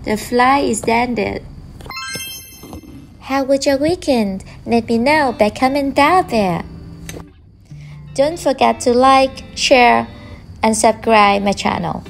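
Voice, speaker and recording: A woman's voice: a little high, gravelly. A woman food vlogger with a Vietnamese accent, speaking English as a second language in a voice-over review with simple, friendly phrasing. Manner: simple non-native phrasing, slow and friendly. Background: background music.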